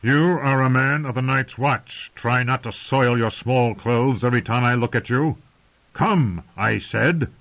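A man's voice: medium pitch, expressive, a little slow, voice pushed up. A man voicing a stern, impatient old male commander who growls and scorns. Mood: scornful. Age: older. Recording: muffled lo-fi recording.

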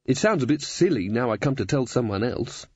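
A man's voice: mid-range voice, animated, brisk, breathy edge, no room sound, dull audio. A man doing a sceptical, indignant teenage girl. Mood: suspicious. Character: bewildered, sullen teenage girl, sceptical and proper.

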